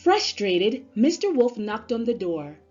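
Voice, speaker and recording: A woman narrating a children's story aloud in an expressive storybook-reader style. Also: on the high side, unhurried. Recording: slightly dull sound, music underneath. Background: music.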